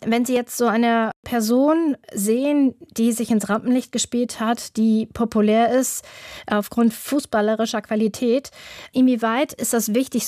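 A woman presenting news and asking interview questions in a clear, composed manner. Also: a little high, warm tone, no room sound, studio quality.

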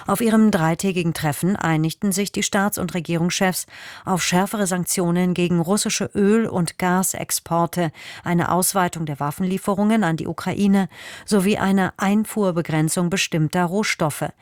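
A woman reading the news on the radio in a measured, formal way. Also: lowish voice, fairly even intonation, no room sound, studio quality. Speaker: newsreader. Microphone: studio microphone.

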